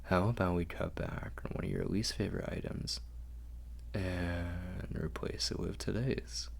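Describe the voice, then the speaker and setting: A man acts out a refined vampire lord for an ASMR roleplay. He is very low-voiced and gravelly, doting yet fastidious. His formal words come slowly and softly, often sinking toward a whisper. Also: steady tone, full character voice. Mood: gently persuasive. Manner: formal, measured, softly coaxing. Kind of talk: ASMR roleplay, intimate scripted monologue.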